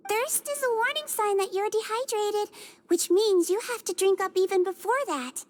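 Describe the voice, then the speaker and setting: A woman voicing a small, caring head nurse in a video game, polite and gently instructive. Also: very high voice, normal speed. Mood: caring, gently fussy. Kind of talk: video game character voice acting.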